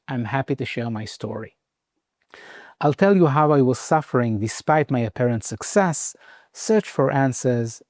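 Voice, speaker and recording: A man in his forties with an Israeli accent, his first language Hebrew, narrates in a calm, measured way. His voice sits at an ordinary middle pitch. The recording is dry and close, with no room around it. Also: dry recording. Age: 40s. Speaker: meditation teacher. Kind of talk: scripted personal narration.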